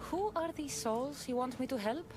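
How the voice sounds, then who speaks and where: Determined warrior heroine, lively higher voice. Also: female voice, normal speed, over music. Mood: resolute. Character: determined, wry female mortal warrior of ancient Greece. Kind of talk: video game voice acting.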